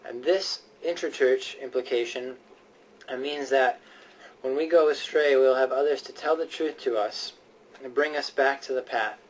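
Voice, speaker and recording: Guy doing a preachy speech, earnest, stresses points, bit halting, mic sounds kinda far away. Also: mid-range voice. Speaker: speaker giving a prepared speech. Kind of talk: persuasive church-themed speech, sermon-like. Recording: voice distant from the microphone.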